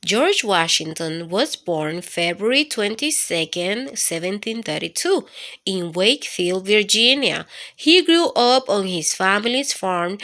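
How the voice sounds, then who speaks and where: A woman reading an educational story aloud for children, in an expressive, instructive way. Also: medium pitch. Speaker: children's storytime host and reader. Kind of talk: read-aloud educational narration for kids.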